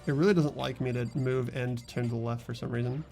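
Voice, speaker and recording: A man's voice: mid-range voice, fairly even intonation, fast, vocal fry, darkish tone. A man hosting a voiced game playthrough, chatting casually and reading narration over game music.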